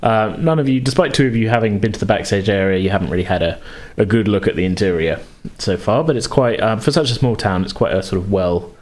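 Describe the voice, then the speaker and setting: A man acting as game master, casually narrating a tabletop game in a halting way as he searches for words. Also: lowish voice, animated.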